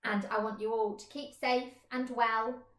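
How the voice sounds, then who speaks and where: A woman's voice: on the high side, little pitch movement, a little slow, fairly bright tone. A woman teacher reading a children's story expressively in a roomy space.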